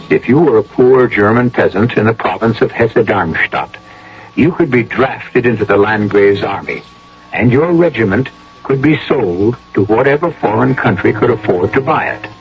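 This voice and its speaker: A man hosting and narrating a radio mystery drama in a theatrical, measured, rhetorical style, on a muffled old broadcast. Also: mid-range voice.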